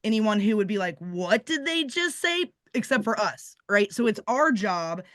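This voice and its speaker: A woman's voice: medium pitch, big pitch swings, normal speed, a bit bright, dry recording, studio quality. A woman talking casually and emphatically on a panel, explaining her points.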